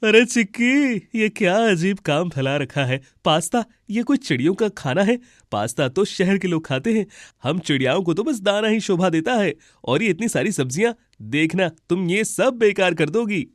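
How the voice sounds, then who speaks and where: A man's voice: high voice, lively intonation, normal speed, few pauses, no echo, studio quality. A man voicing a scornful, fault-finding crow uncle in a children's cartoon, scoffing and exclaiming. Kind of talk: Hindi animated children's story dialogue.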